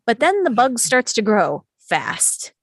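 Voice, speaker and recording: A woman reading scripted stage directions as narrator at an animated film table read. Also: fairly high pitch, slow, no room sound.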